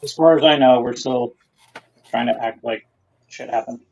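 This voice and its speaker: A man's voice: medium pitch, normal speed, a little mellow. A man playing a tabletop game, chatting casually and jokingly about his character's actions. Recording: dull lo-fi microphone.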